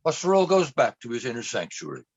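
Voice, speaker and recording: A woman's voice: very deep voice, big pitch swings, fairly quick, a little mellow, slightly rough, lo-fi sound, heavily acted. A woman reading scripted scene narration for a radio play.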